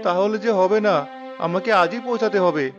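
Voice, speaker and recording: Man acting a high-voiced young city doctor. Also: a little fast, highs cut off, music in the background, close to an everyday voice. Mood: weary. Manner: matter-of-fact, slightly impatient.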